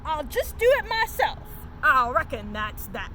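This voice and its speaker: A woman acts out a folksy cowpoke from a children's storybook, exasperated and tuckered out. The voice sits high and swings widely in pitch. There is noticeable noise behind it. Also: sing-song, unhurried, sharp tone, heavily acted. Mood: fed up. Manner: folksy cowboy talk. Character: exasperated, worn-out male cowboy storybook character.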